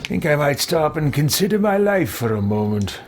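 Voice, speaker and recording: Theatrical man with lively, highish voice. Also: normal speed. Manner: theatrical, declaiming. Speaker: gamer roleplaying a character in a playthrough. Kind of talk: in-character roleplay commentary over a video game.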